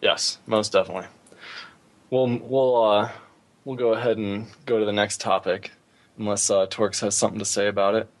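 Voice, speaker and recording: A man's voice: fairly low pitch, fairly even intonation, moderate pace. A man hosting a podcast, chatting casually about gaming in an informal way, thinking aloud as he goes. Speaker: podcast host.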